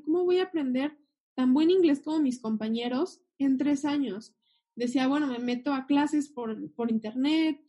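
A woman's voice: high voice, mellow. A young woman sharing a heartfelt personal faith testimony as a guest. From Mexico. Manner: earnest, heartfelt storytelling.

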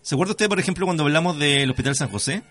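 A man's voice: a little high, rapid-fire, a bit bright. A man with a Chilean accent hosting a conversational radio interview, speaking formally and courteously with some hesitation. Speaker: radio host.

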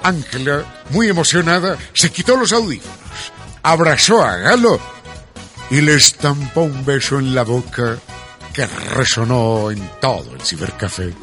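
An actor narrating a radio drama as a dramatic, playful storyteller. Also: male voice, medium pitch, lively intonation, unhurried, over music, close to an everyday voice. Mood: wry, dramatic. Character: lively male storyteller narrator of a radio soap.

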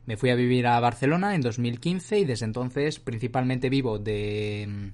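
A man's voice: mid-range voice, moderate pace, darkish tone. A thirty-year-old man with an accent from Spain, co-hosting a podcast in a chatty, joking way with plenty of fillers. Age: 30s. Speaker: podcast co-host. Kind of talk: casual chat.

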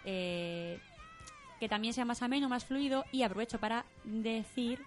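A woman, production manager of an amateur theatre group, chatting in a radio studio interview, animated and laughing often, restarting her sentences. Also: fairly high pitch, normal speed, soft background music. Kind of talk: casual radio interview chat.

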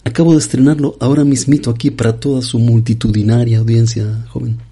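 A man's voice: medium pitch, little pitch movement, fast, warm tone, husky. A man voicing a pompous, absurd aging bolero crooner who is a guest on an interview show, in a comedy sketch. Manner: grandiose, addresses host as young man.

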